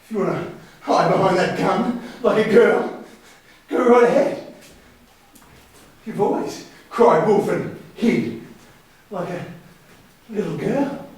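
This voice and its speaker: Guy playing a hurt but defiant brother in a stage play, slow high voice, really echoey and far from the mic. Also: darkish tone. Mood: defiant, taunting. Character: wounded, defiant grown son confronting his bullying brother. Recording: very echoey hall, distant mic.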